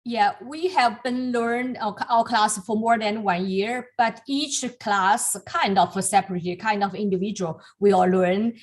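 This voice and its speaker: Slow, halting woman teaching on a video call. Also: mid-range voice. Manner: slow, halting, many fillers and restarts. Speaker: calligraphy teacher. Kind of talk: online class teaching with demos.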